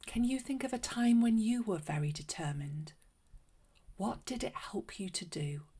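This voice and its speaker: A woman narrating a read-aloud story for children in a gentle, unhurried, expressive way. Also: mid-range voice, husky. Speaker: children's story reader and host.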